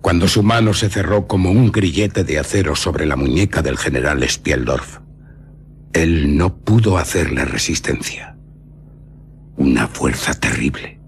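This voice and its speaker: Casting: grave vampire-hunting baron, Castilian, radio play. Extremely deep, gravelly, solemn delivery. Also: male voice, expressive, a little slow, a little hiss or hum, full character voice. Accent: Castilian Spanish. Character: grave baron, vampire hunter descended from a nobleman. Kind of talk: radio drama acting.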